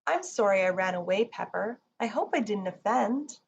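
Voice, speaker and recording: A woman's voice: medium pitch, animated, moderate pace, creaky. A woman playing a young girl in a children's storybook, earnest and full of wonder. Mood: apologetic. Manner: storybook character voice. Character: young girl, startled, apologetic, wondering about dreams. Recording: muffled with faint background noise.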